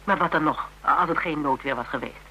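A woman's voice: deep voice, a little fast, sharp tone, slightly rough, full character voice. An actress playing a spirited, teasing woman in a radio drama, telling things playfully and dramatically with self-mockery. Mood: earnest, coaxing. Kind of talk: Dutch radio drama dialogue. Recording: hummy, noisy old radio recording.

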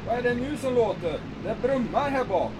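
A man acting a jittery, fussy doctor riding as a cramped passenger in an adventure radio drama. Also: animated. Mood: anxious. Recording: loud aircraft engine rumble.

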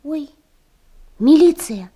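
An earnest young schoolgirl in a radio play. Her voice is very high and childlike, with an obedient manner. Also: lively intonation, slow pace, mellow. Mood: timid.

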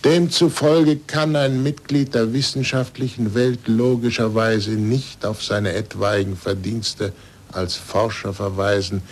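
Low-voiced, deliberate aged scientist. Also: male voice, level tone. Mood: reflective. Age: older. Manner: deliberate, formal, lecturing. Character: aged recanted scientist under church supervision, bitter and resigned. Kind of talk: 1940s German radio drama acting. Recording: noisy 1940s radio recording.

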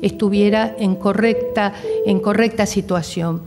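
A woman's voice: medium pitch, steady tone, a little slow. A woman giving spoken testimony, explaining and rephrasing with some hesitation. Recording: loud music under the voice. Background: loud music.

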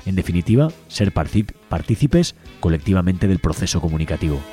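Male community radio host, Spain accent, deep voice, scripted announcements over faint background music. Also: big pitch swings, brisk. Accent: Spanish from Spain. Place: radio studio.

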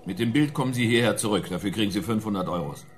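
A man's voice: lowish voice, lively intonation, fast, faint music behind, close to an everyday voice. A man playing a glib, condescending schemer in a radio play, giving instructions in a smug, impatient manner. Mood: smooth, instructing. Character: glib, condescending male schemer posing as art doctor.

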